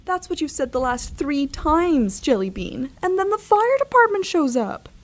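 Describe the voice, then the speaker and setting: Woman as a kindly, higher-voiced suburban mom. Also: very animated, moderate pace. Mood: fond, wary. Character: kindly, long-suffering suburban mother of a genius. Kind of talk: scripted audio drama acting.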